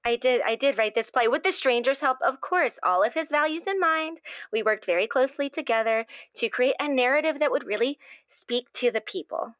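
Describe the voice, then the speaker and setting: A woman playing an earnest, gushing playwright in an improvised audio drama, speaking in a halting, effusive way as if anxious to please. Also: high-pitched, animated, no room sound, highs cut off. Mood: gushing, devoted. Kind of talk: improvised actual-play interview acting.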